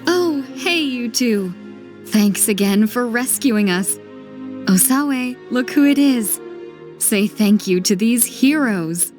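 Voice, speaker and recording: A woman voicing a caring, grateful young village woman in a video game, with an earnest, gentle manner. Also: a little high, lively intonation, takes it slowly, music in the background.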